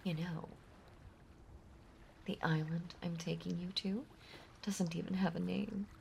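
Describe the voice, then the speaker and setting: A woman playing a possessive, lovesick mermaid monster girl in an intimate audio roleplay, breathy and often whispering, with a doting, clingy delivery. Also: fairly low pitch, fairly even intonation, unhurried, darkish tone, a little hiss or hum. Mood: lovesick, giddy. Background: ocean ambience.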